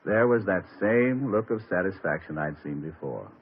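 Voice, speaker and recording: A man reading a scripted 1950s radio beer commercial in the role of a portrait painter, in a smooth storytelling way. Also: sits low, lively intonation, moderate pace. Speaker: ad pitchman playing a portrait painter. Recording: tinny old radio broadcast recording.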